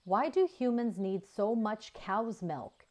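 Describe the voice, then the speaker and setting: A woman's voice: medium pitch, lively intonation, slow pace, a little mellow, voice pushed up. A woman voicing a curious young female calf in a children's story, earnest and sweet. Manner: earnest, inquisitive.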